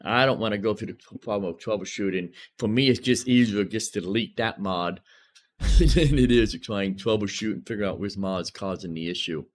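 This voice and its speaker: A man's voice: lowish voice, a little fast, slight background noise. A man live streaming a video game, commentating casually as he thinks aloud and repeats himself.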